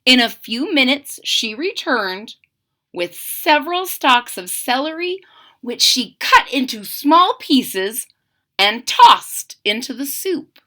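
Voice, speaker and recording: Female storyteller for kids, slow and bright, lots of melody. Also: high voice. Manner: gentle, explanatory, animated storytelling. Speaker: teacher reading aloud. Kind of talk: children's read-aloud with commentary.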